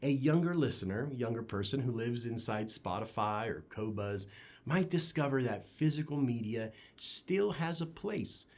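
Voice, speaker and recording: A man's voice: medium pitch, animated, moderate pace. A man hosting a product review channel, talking in a conversational, opinionated way with emphatic phrasing. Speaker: audio gear reviewer and channel host.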